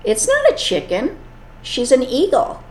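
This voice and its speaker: Lady doing a wise old eagle guy, earnest and pushy, pitched up a bit, roomy echo. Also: huge pitch swings, measured pace, darkish tone, heavily acted. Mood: urging. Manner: earnest, urging. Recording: echoey room.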